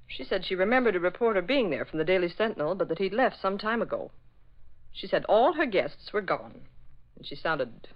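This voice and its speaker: Lively American secretary, played by a woman. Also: medium pitch, a little fast, lightly acted. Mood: efficient, wry. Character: efficient, wry, attentive female secretary. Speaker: actress. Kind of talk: 1940s radio acting. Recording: dull old radio recording.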